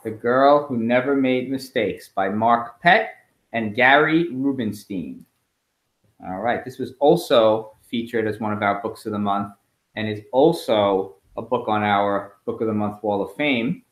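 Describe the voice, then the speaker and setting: A man reading a picture book aloud to children in an expressive, emphatic storytelling way, as a school principal at home. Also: lowish voice, a little slow. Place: at home, indoors. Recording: roomy, echoey home recording.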